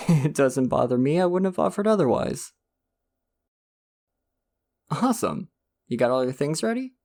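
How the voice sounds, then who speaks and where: A man's voice: fairly high pitch, sing-song, measured pace, vocal fry, pauses often, full character voice. A young man voicing a friendly, slightly shy college student in an ASMR roleplay, talking casually and laughing often. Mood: easygoing chatty. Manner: casual, laughs often, soft-spoken and chatty.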